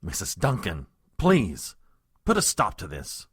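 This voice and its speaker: An actor playing a nervous, exasperated political aide who speaks with hesitant, strained politeness. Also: male voice, deep voice, huge pitch swings, unhurried, a bit bright, slightly rough, dry recording, clean studio sound, exaggerated voice. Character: deferential, exasperated, nervous male presidential aide. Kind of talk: comic audio drama acting.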